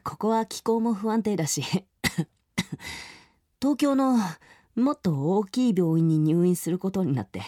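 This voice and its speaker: An actor playing a frail, earnest young boy in a radio drama, speaking softly and boyishly to a friend. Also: high voice, animated, measured pace, no echo, clean studio sound. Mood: sad, hopeful.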